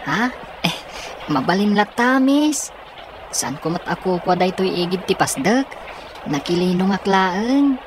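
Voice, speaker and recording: A woman's voice: medium pitch, expressive, measured pace, natural voice. A woman playing a kind, humble and helpful youth in an Ilocano radio drama, earnest and full of exclamations. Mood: shy, surprised. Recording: noisy, with street and vehicle ambience.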